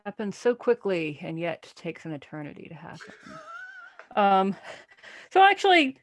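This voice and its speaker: A woman's voice: medium pitch, wildly swooping pitch, moderate pace. A woman on a discussion panel casually talking about a book, dry and wry, feeling her way through phrases. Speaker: podcast panelist.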